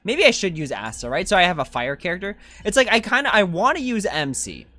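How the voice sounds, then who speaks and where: Casual game streamer with lively, swinging pitch. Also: fast talker, no echo. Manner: casual, slangy, thinking out loud. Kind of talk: casual gameplay commentary, reading chat.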